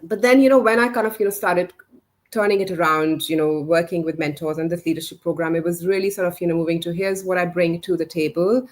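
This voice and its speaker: A woman's voice: lowish voice, steady tone, fast talker. A woman in her forties, not a native English speaker, telling her career story as an interview guest, with many fillers and restarts. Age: 40s. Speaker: interview guest, communications leader. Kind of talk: reflective career story in a live chat.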